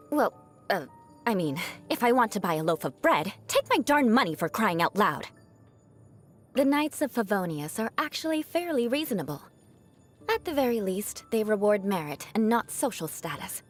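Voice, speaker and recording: A young woman voicing a proud, haughty noblewoman knight in a video game, an outcast bent on revenge, with formal, dramatic diction. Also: mid-range voice. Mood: exasperated, indignant. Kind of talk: video game character voice lines.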